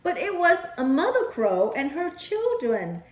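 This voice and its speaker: A woman's voice: high-pitched, huge pitch swings, measured pace, darkish tone. A woman storyteller reading a children's picture book aloud. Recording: roomy echo.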